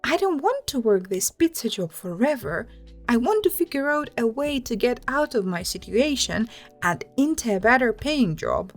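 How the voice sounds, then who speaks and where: A woman's voice: fairly high pitch, big pitch swings, normal speed, soft background music. A woman hosting a story channel, reading first-person written accounts aloud and adding casual commentary. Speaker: host reading online stories with commentary.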